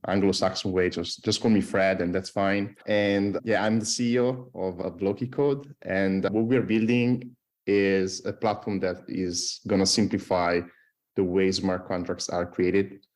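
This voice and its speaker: A man's voice: sits low, darkish tone. A man with an Italian accent informally introducing himself as a startup CEO. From Italy. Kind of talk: friendly informal self-introduction.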